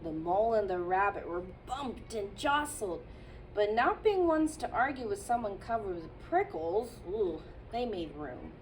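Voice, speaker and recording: Gentle, slow woman reading a storybook. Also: mid-range voice, very animated, recorded from a distance, a little hiss or hum, rough recording. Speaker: childcare teacher reading aloud. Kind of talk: children's picture book read-aloud.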